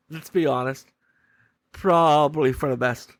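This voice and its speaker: A man's voice: on the high side, steady tone, slow pace, no echo. A man hosting a podcast solo, giving casual, opinionated commentary in informal language. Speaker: podcast host.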